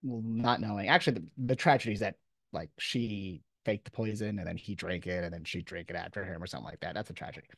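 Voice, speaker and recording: A man's voice: deep voice, fast talker, gravelly, no echo. A man giving an informal lecture as a professor, talking in a casual, off-the-cuff, conversational way.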